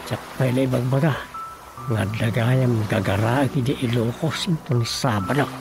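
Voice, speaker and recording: A woman with an Ilocano accent playing a scheming, wisecracking village councillor with a creaky voice in a radio comedy. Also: very deep voice, moderate pace, over music. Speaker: radio drama voice actor.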